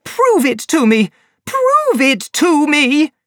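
A woman's voice: slow pace, a little mellow, dry recording, natural voice. A reader voicing an upset young woman who pleads and confesses, in a dry, close recording. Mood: shocked, angry. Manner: emotional, high and strained. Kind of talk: audiobook character reading.

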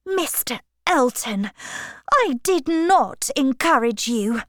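A woman voicing a proud, composed young gentlewoman in an audiobook, formal and firm. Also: a little high, slow, no echo, clean studio sound. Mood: cold. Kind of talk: audiobook character dialogue.

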